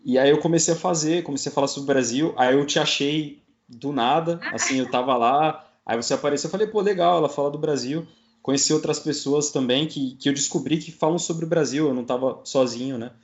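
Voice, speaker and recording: A man's voice: on the high side, fairly quick. Casual Brazilian man, dull lo-fi audio. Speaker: guest, podcaster. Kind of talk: casual chat.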